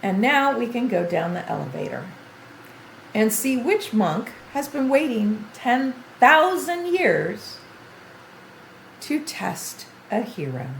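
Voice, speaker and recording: Gravelly-voiced grandma gamer, echoey room. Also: female voice, fairly high pitch, very animated, slow, a little hiss or hum. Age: older. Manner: chatty, thinking aloud while playing. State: voice going hoarse. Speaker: gaming streamer. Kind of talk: game tutorial livestream commentary.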